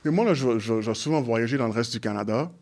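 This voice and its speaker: Halting anglophone man speaking French. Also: medium pitch, lively intonation, darkish tone. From Montreal, Quebec. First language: English. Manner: halting, restarts often while searching for words; slips into English phrases. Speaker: guest, community organization leader. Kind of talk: conversational interview.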